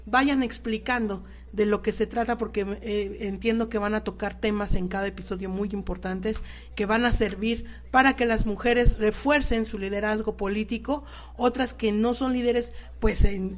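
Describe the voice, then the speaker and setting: A woman with a Mexican accent hosting a live radio talk show, addressing guests and listeners. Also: medium pitch.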